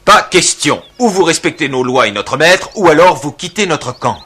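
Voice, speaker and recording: An actor voicing a loyal, earnest clan member who speaks firmly in a cartoon dub. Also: male voice, a little high, very animated, moderate pace, pushed and bright, slightly rough, heavily acted. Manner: firm, earnest.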